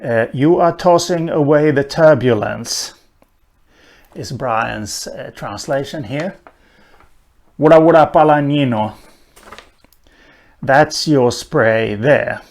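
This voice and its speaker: Swedish-speaking guy explaining a language lesson, real slow, lots of uh's, mid-pitched voice. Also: vocal fry, a little mellow. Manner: slow and thoughtful, with many "uh" pauses. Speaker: language teacher. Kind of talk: unhurried spoken lesson on a language.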